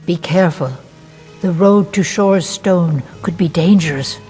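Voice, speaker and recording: A plain-spoken apothecary's assistant from a fantasy game, played by a woman. Her voice is on the low side, earnest and weary, with a faintly resentful edge. It rings with long reverb over faint music. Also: unhurried, dark tone, natural voice. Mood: cautioning. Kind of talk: video game character dialogue.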